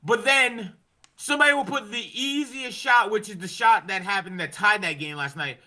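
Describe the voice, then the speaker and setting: A man's voice: high voice, moderate pace, sharp tone. A man hosting a casual sports podcast, ranting in an exasperated way and swearing heavily. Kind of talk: casual hockey fan chat.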